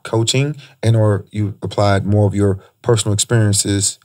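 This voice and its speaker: A man's voice: lowish voice, little pitch movement, normal speed, a little mellow. An American man in his thirties chatting casually as a podcast host, laid-back, teasing and slangy, close on a studio mic. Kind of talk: casual chat interview. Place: small foam-treated podcast studio. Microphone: close boom-arm studio microphone.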